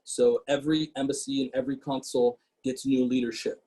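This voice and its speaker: Guest speaker, man in his 30s, native Portuguese speaker, slightly husky tone, easygoing delivery full of fillers, heard over a video call. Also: medium pitch, level tone, a little fast, warm tone, poor audio. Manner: conversational, with frequent fillers and restarts. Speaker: guest speaker, city council member. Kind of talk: casual webinar talk.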